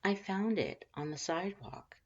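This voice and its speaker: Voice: mid-range voice, animated, moderate pace, highs cut off, slight background noise, voice pushed up, close to an everyday voice. A performer voicing a playful male skeleton who talks in a teasing, flirtatious purr. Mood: teasing, flirty.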